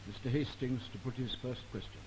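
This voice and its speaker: A British man moderating a formal 1950s political broadcast in a measured, halting, deliberate manner. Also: mid-range voice, fairly even intonation. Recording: hissy 1950s archive broadcast recording.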